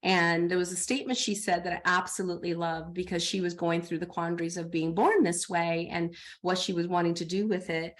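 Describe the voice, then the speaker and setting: A middle-aged woman coach talking in a podcast interview, animated, acting out her own inner dialogue. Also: fairly low pitch, a little fast, few pauses.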